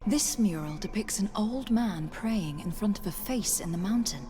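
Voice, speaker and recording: A woman's voice: medium pitch, expressive, moderate pace, warm tone, lightly acted. Woman acting a composed, polite adventurer heroine. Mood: curious, studious. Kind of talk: dramatic video game acting.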